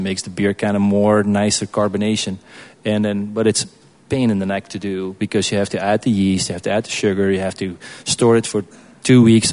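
A man from Belgium, speaking as a guest brewer in an informal question-and-answer session, in a casual, halting way. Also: fairly low pitch, a little mellow.

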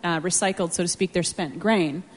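A woman's voice: fairly low pitch, normal speed, slight background noise. A woman hosting an informal live question-and-answer talk in a chatty, encouraging way. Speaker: host, moderator.

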